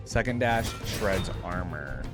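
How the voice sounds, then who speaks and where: A man's voice: low voice, lively intonation, slow, over music. A man doing casual reaction commentary, thinking aloud with lots of filler "like" and reading game text aloud. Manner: thinks aloud, hedging with frequent "like". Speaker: reaction video host.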